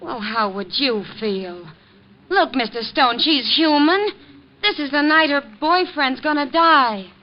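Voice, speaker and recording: High-voiced, sassy barroom girl. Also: expressive, unhurried. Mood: indignant. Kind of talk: 1950s radio mystery acting. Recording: dull, noisy vintage radio recording.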